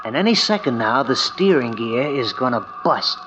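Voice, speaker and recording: A man's voice: medium pitch, expressive, moderate pace, over music, lightly acted. An actor playing a smug, scheming, murderous man with cocky, slangy tough-guy talk in an old-time radio thriller. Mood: smug, menacing. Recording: muffled, noisy old radio recording.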